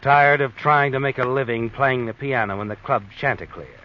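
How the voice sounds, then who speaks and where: An actor playing an eerie all-knowing American narrator of a vintage radio mystery, speaking in an ominous, taunting way. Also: male voice, medium pitch, natural voice. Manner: knowing, taunting, dramatic swoops and pauses. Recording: dull vintage radio recording with music.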